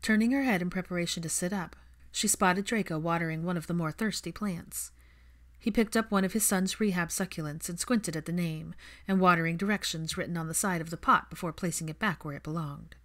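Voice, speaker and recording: Lower-pitched woman narrating fiction. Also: fairly quick, fairly bright tone. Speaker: audiobook narrator.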